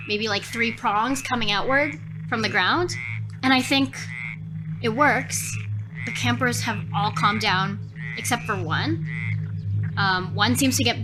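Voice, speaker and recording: A woman's voice: mid-range voice, rough recording. A woman improvising horror story narration as a player in a tabletop game, thinking aloud expressively. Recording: music behind the voice.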